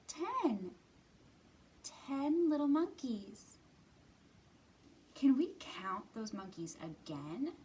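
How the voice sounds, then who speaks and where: A woman hosting storytime for young children, reading and talking to them in simple, expressive phrases. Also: medium pitch, a little hiss or hum. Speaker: children's library storytime host. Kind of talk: storytime read-aloud and singalong for young children.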